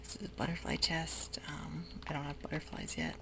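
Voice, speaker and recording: A woman whispering softly through a relaxed, rambling ASMR game commentary. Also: sits low, brisk, gravelly. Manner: soft whisper, rambling and easygoing. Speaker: YouTuber playing a game. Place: home room with sprinklers outside.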